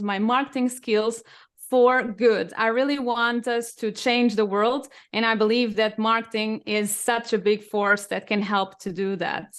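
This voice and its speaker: A woman's voice: on the high side, a bit bright. A woman from Portugal, Portuguese as her first language, speaking as a guest on a podcast in enthusiastic casual chat. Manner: enthusiastic, laughs often.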